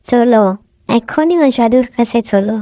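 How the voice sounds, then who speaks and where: A woman voicing a brave, wise young daughter who speaks calmly and earnestly. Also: on the high side, normal speed, no room sound, studio quality, close to an everyday voice. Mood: resolute. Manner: calm, earnest, resolute.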